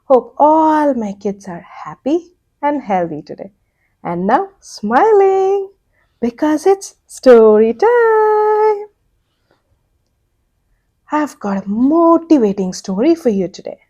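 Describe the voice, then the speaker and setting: A woman's voice: sits high, big pitch swings, slow, pauses often, warm tone, dull audio. A woman telling a story to children in a playful way. She makes vehicle sound effects and keeps asking the listener questions. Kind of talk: animated storytelling for kids.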